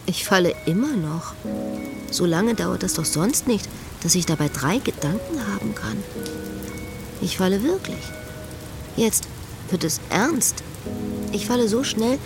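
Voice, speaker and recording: An actress playing a lovesick, restless woman who speaks her inner thoughts in a radio drama. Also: mid-range voice. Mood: alarmed.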